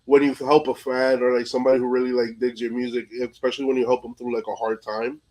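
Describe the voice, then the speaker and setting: A man's voice: mid-range voice, level tone, quick pace, darkish tone, poor audio. A man hosting a casual interview, speaking in informal, slangy phrases peppered with filler words. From New York, USA. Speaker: podcast host interviewer.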